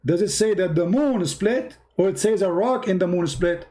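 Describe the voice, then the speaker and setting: A high-voiced man whose first language is Arabic runs a heated debate. He repeats himself, interrupts and throws insults at his opponent. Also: normal speed, warm tone. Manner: aggressive, insulting, repetitive, interrupting. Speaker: debate host.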